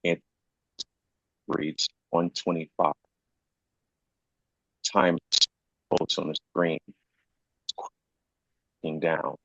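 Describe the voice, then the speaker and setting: A man's voice: rumbling low, fairly bright tone. A man reading stage directions as scripted narration at a live screenplay table read, recorded muffled in an echoey room.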